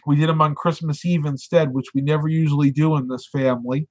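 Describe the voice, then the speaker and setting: A man's voice: fairly high pitch, steady tone, fairly quick, darkish tone. A man hosting a casual live stream, chatting and reading viewer comments with lots of fillers, sounding congested as he loses his voice.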